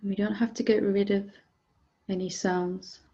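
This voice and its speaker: Woman, meditation teacher, calm and gentle, very level intonation, unhurried pauses, slightly muffled recording. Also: medium pitch, slightly rough. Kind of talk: guided meditation, softly instructive.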